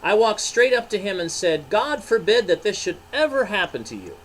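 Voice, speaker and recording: A man's voice: sits high, big pitch swings, moderate pace, pitched above the performer's own voice, low-quality recording. An anguished male disciple confesses his shame in a storybook tale, voiced by a man reading aloud. His voice is lifted and emphatic. A light noise sits behind it.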